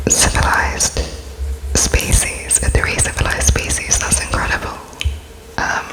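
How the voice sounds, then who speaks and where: A person speaking in a breathy, unvoiced whisper, hesitant and gently polite, playing an interviewer in an ASMR roleplay. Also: low-quality recording.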